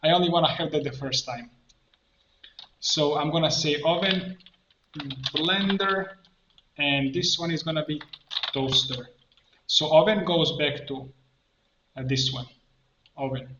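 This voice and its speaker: A man presenting an informal screen-share tutorial, thinking aloud step by step in halting phrases. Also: a little high, bright, forceful tone. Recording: roomy echo, muffled band, background noise. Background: keyboard typing and mouse clicks.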